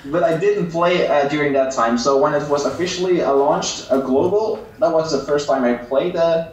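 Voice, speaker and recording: Flemish young man, Dutch native, polite hesitant interviewee, slightly high voice, very echoey distant audio. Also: moderate pace, highs cut off, slight background noise. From West Flanders, Belgium. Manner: polite, hesitant, many fillers. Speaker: interviewed YouTuber. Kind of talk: casual interview over a call. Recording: distant echoey call mic.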